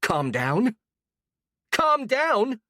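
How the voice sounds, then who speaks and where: A storyteller voicing a hot-tempered young woman who shouts and sneers with sarcasm. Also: low voice, big pitch swings, normal speed, dry recording, studio quality, voice pushed up. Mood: furious.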